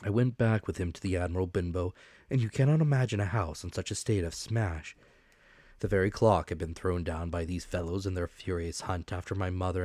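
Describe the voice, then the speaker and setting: A man's voice: low voice, dark tone, no room sound. A man reading a classic adventure story as an audiobook narrator, with dramatic scripted delivery.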